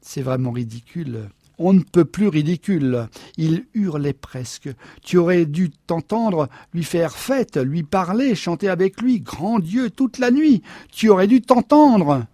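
A man's voice: on the high side, measured pace, breathy edge, dry recording, studio quality. A man reading aloud as a jealous husband who snaps and raises his voice in anger. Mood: shouting. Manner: loud, sharp outbursts. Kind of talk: audiobook dialogue reading.

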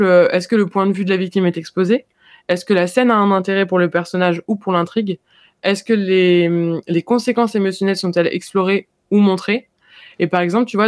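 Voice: mid-range voice, dry recording. Hesitant young speaker, dry close sound. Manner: hesitant, full of filler sounds and restarts. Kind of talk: casual discussion.

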